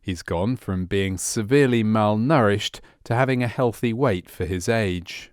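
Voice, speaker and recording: Male narrator for medical training film: lower register, measured, clinical, scripted. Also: clean tone, no echo, clean studio sound.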